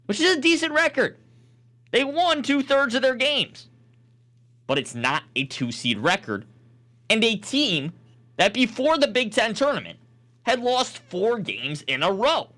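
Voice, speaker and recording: A man's voice: high voice, bright, forceful tone. A man hosting a sports talk show, arguing his opinions emphatically in a back-and-forth debate. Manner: emphatic, argumentative.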